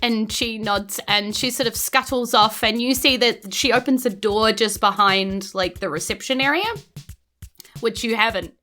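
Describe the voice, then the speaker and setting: A woman's voice: a little high, moderate pace, bright, forceful tone, soft background music. A woman with a New Zealand accent narrating a tabletop game as game master in an informal, loosely descriptive way.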